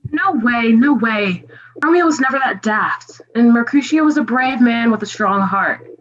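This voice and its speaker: Casting: outraged, pompous traditionalist played by a woman, highish pitch, comic play reading, mildly muffled sound. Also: expressive, moderate pace. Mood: indignant. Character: indignant, stuffy purist defending a beloved classic story. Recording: slightly dull, lo-fi sound.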